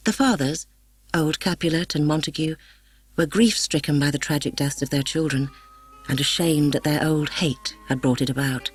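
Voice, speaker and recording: A woman reading a story as an audiobook narrator, expressive and solemn. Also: deep voice, moderate pace, slightly rough, dry recording, soft background music. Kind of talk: dramatic scripted story narration. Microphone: close studio microphone, dry.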